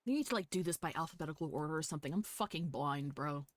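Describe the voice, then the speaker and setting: A woman streaming a game live, chatting casually with viewers in an animated, sweary, fan-like way. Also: sits low, quick pace, no room sound. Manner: foul-mouthed. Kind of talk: casual chat with viewers while gaming.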